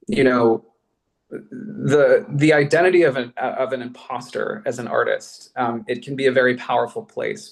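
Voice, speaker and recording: Earnest, articulate American man, mid-pitched. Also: gravelly, rough recording. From Colorado, United States. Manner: earnest, articulate, elevated wording. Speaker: podcast creator and artist. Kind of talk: reflective panel conversation.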